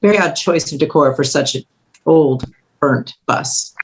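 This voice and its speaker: A woman narrates a screenplay at a table read. Her voice sits low to middling in pitch, and she reads the scene directions as scripted narration. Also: measured pace, slight background noise, low-quality recording.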